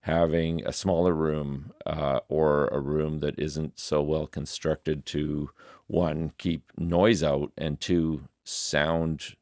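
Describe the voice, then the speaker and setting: A man's voice: level tone, slow, darkish tone, dry recording. A middle-aged man, thinking aloud in a reflective vlog recorded in his home music studio. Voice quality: deep voice. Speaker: musician and video creator. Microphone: desk studio microphone.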